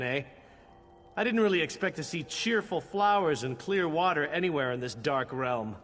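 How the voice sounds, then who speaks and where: A man's voice: medium pitch, a bit bright, lightly acted. A man playing a cocky, sardonic knight in a video game, with a flippant, teasing, wry way of talking. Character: cocky sardonic knight, male adventurer, jaded but charming. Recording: long hall reverb, music behind.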